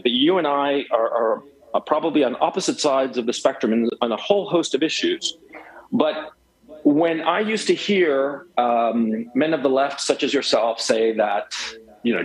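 A man's voice: mid-range voice, poor audio. A man in his forties from Chile, a guest talking emphatically on a cell phone, rambling with many restarts. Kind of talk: phone interview.

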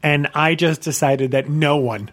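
Male co-host, higher voice, earnest, rambling casual chat. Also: unhurried, a bit bright. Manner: earnest, wandering, loses his train of thought.

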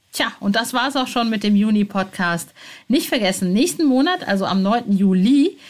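A woman hosting a podcast, talking casually as an interviewer and presenter. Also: on the high side. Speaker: podcast host.

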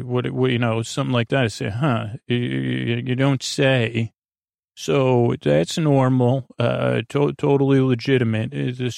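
A man with a creaky voice hosting a bedtime podcast in a meandering, halting, drawn-out monologue that keeps wandering off on tangents. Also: medium pitch, no echo, studio quality. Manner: hesitant, halting, drawn-out, digressive. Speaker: podcast host.

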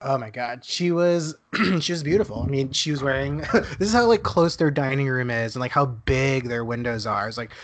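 A man's voice: medium pitch, lively intonation, moderate pace, a little hiss or hum. Chatty young man telling a story. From Dallas, Texas. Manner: chatty storyteller, laughs often, many "like" fillers. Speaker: podcast co-host. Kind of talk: casual chat.

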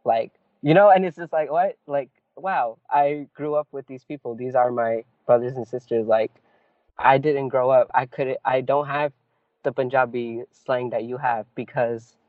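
A man from California, a poet and activist, telling his story as an interview guest in an animated, casual way, often saying "like" and "right?". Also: medium pitch, moderate pace, no echo. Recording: tinny phone-like call audio.

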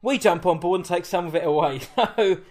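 A man's voice: sits high, brisk, darkish tone. A man from England with an Essex accent, hosting a casual interview in a chatty, rambling way that often corrects itself. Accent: Essex English. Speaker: podcast host.

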